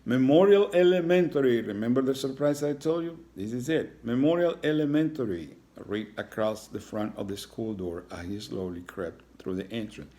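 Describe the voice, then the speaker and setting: A man with a fairly low voice reads a storybook aloud like a teacher. He speaks directly to children, explaining things simply and reassuringly between passages. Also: moderate pace, hardly any pauses, mellow. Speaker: teacher reading aloud to schoolchildren. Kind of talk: read-aloud storytelling with informal asides to children.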